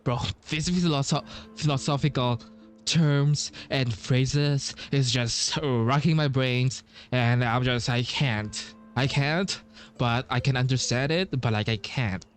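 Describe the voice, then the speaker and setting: A man doing casual playthrough commentary as a streamer, thinking aloud haltingly and repeating himself. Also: medium pitch. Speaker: game streamer. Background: game music.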